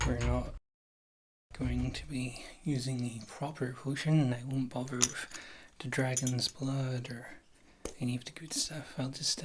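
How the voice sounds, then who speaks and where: Woman acting as witch healer, soft-spoken, frequent whispering, wry, rambling, casually reassuring, ASMR fantasy roleplay, noisy close recording. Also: rumbling low. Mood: offhand, wry. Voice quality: soft, often slipping into a whisper. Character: wry, thrifty witch healer, a former adventurer.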